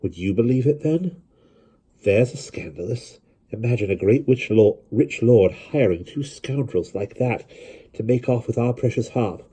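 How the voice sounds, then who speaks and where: Male narrator as gossipy village woman, hushed, husky. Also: medium pitch, animated, a little slow, voice pushed up. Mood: gossiping.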